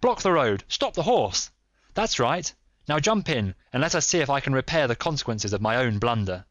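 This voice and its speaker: A man voicing an impatient, intense, commanding detective in a storybook, with a quick, clear, exclamatory delivery. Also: mid-range voice, expressive, a bit bright, no echo, pitched above the performer's own voice, natural voice. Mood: anguished urgency. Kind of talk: dramatised audiobook character.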